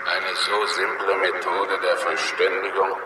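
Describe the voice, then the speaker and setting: A vast, coolly superior alien cloud intelligence in an old radio drama. The voice is low and very slow, with formal, evenly stressed phrases. It comes through as a distant transmission buried in loud noise. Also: male voice, level tone, roomy sound. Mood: detached, puzzled. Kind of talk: 1960s German science fiction radio play.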